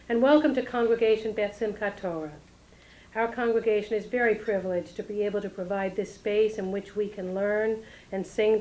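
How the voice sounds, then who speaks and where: A woman giving a formal prepared welcome address at a synagogue event, measured and solemn. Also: mid-range voice, darkish tone, rough recording. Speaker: rabbinic intern giving a welcome. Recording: old analog tape.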